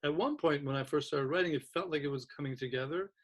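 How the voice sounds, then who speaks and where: Guy raised in turkey running a chill video call panel, thoughtful, lots of restarts. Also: a little high. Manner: thoughtful, halting, restarts often. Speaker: host of faculty round table. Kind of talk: casual conversation.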